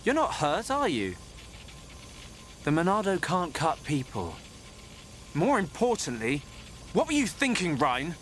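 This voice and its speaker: A man's voice: on the high side, wildly swooping pitch, a little slow, long pauses, a bit bright, slight background noise, full character voice. A man with an English accent playing an earnest, thoughtful young inventor in a video game. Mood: concerned, scolding. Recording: orchestral music behind the voice.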